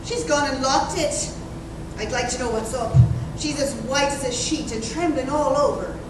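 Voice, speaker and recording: Casting: woman as excitable gossipy housemaid, high voice, old-time radio play; distant live recording. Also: moderate pace, natural voice. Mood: nosy, excited. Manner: gossipy, colloquial servant talk. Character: chatty, nosy, excitable young female housemaid. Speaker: actor. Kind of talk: 1930s radio drama acting. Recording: distant, very echoey, noisy live room.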